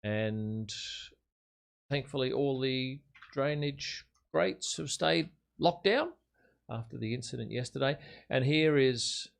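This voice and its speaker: Older Australian male host, chatty and matey, medium pitch. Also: slow pace, gravelly. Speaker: livestream host. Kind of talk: casual chat and race commentary.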